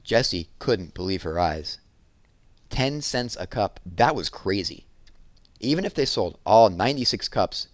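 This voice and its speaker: A man narrating a children's novel aloud in an expressive storytelling style. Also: fairly low pitch, no echo.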